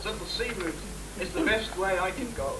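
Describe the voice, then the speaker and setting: A man's voice: sits high, normal speed, lightly acted. A man playing a resigned business partner sent abroad in an amateur Christmas pantomime. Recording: noisy echoey distant stage recording.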